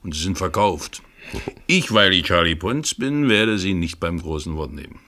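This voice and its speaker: Bright, animated, wily fixer. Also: male voice, low-pitched, moderate pace, exaggerated voice. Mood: eager, teasing. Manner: theatrical, knowing, teasing. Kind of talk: 1970s German radio crime drama dialogue.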